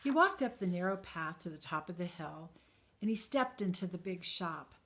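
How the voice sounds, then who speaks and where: A woman teacher reading a children's story aloud in a calm, expressive way. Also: fairly low pitch, moderate pace, a little hiss or hum.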